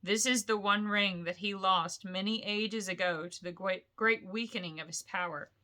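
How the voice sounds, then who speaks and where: A woman reads the part of a grave old wizard from a fantasy storybook. The voice is bright and forward, commanding and slow, with weighty, portentous emphasis on the words. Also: mid-range voice, close to an everyday voice. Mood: grave, solemn.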